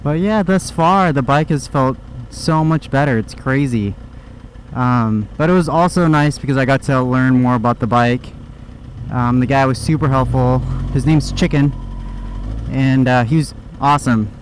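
Young American male motovlogger, casual and slangy, average pitch, helmet mic with clear motorcycle noise behind. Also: moderate pace. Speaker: motorcycle vlogger. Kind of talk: casual vlog chat while riding. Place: outdoors, in a motorcycle helmet on the road. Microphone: microphone inside helmet. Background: motorcycle engine and traffic.

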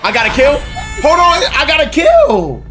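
A man's voice: very high-pitched, wildly swooping pitch, normal speed, over loud music. A brash man in casual voice chat while gaming, swearing freely. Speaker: gamer.

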